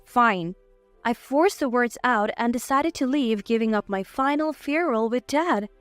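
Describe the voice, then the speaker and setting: A woman's voice: a little high, normal speed, dry recording. A woman reading a scripted, first-person dramatic story as its narrator. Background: faint background music.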